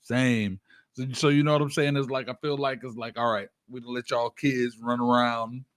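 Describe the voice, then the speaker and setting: A man's voice: mid-range voice, little pitch movement, normal speed, fairly bright tone, no room sound. Casual slangy male hip-hop host, dry sound. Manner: slangy, hype, affirming interjections. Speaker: radio show host.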